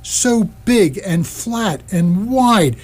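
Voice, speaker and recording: Newborn whale played by an actor: naive, wondering, self-questioning, medium pitch, stage radio play. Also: expressive, drawn-out words, darkish tone, breathy edge, soft background music, heavily acted. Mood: excited wonder. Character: bewildered innocent newborn whale, naively excited. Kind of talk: staged radio theatre acting.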